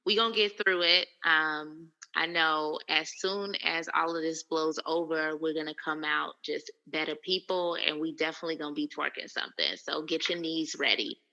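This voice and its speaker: Low gravelly woman, bright lo-fi voice. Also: fairly even intonation, moderate pace, few pauses, in a large hall. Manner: playful, upbeat, promotes her channel between laughs. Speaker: podcast co-host and YouTuber. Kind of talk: casual podcast chat.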